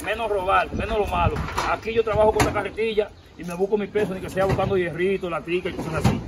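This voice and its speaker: A man's voice: high-pitched, steady tone, quick pace, background noise. A man in his forties with a Dominican accent, pleading and emphatic in a street interview, recorded outdoors on a camera close by. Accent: Dominican Spanish. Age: 40s. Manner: pleading, emphatic, gestures while talking. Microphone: handheld camera close by.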